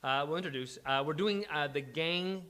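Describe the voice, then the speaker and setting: A man hosting a live script-reading event in a casual, halting, scattered way, poking fun at himself and swearing freely. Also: fairly high pitch, bright, forceful tone. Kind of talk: casual unscripted stage introduction. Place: live event venue before a small audience.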